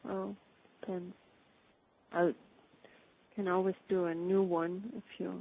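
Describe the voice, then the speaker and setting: A woman's voice: lowish voice, level tone, takes it slowly, gravelly. A woman chatting casually on a work call, hesitant and often trailing off mid-thought. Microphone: phone or conference call. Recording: muffled narrow phone-like call.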